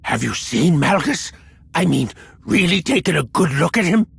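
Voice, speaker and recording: Twitchy stim-hooked hacker for a game: high-set, badly husky voice, nervous rambling. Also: sing-song, normal speed, fairly bright tone, full character voice. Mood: eager, rambling. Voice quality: raspy, scratchy. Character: jittery, eccentric male slicer addicted to stims. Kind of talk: video game voice acting.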